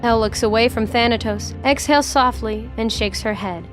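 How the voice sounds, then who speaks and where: Higher-pitched woman narrating a drama. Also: normal speed, soft background music. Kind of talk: scripted dramatic story narration.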